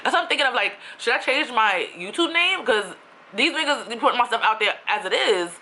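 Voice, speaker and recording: A woman's voice: fairly high pitch, brisk, a little hiss or hum, low-quality recording. A young woman from New York, ranting casually on her own vlog in a chatty, slangy way. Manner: chatty, animated, with slang and asides. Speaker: YouTuber.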